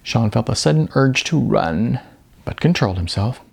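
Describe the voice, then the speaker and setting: A male author narrates his novel as an audiobook. His mid-range voice is husky and creaky, and it moves up and down a great deal as he reads. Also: medium pitch, animated, normal speed. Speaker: author reading own novel.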